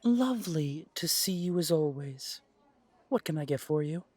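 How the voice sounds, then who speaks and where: A man's voice: on the high side, expressive, normal speed, darkish tone. A man playing an attentive, courteous male coffee shop keeper in an audio drama, reassuring an old friend. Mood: welcoming.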